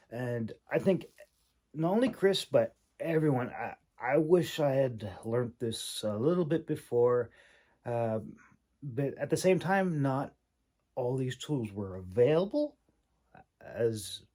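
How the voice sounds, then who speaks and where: A man giving an informal, rambling critique as a host, speaking haltingly with long pauses and repeated words. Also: mid-range voice, dark tone. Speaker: host, independent fantasy writer and audiobook reader giving critique.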